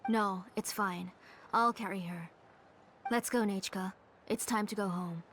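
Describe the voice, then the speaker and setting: A woman playing a courteous, protective mother who is gentle but firm, in a video game. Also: mid-range voice, measured pace, a little hiss or hum. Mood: gently stern.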